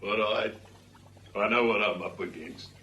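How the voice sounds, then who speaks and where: A man's voice: on the low side, a little slow, lightly acted. An actor playing a resigned older male career criminal in a vintage radio drama, wry. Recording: very noisy old radio recording.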